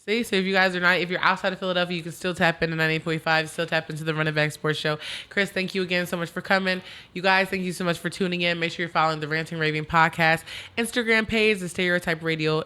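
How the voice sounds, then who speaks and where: A woman hosting a podcast in a casual chat, talkative and quick to laugh, often repeating her words. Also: fairly low pitch, steady tone, bright, forceful tone, clean tone. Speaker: podcast host.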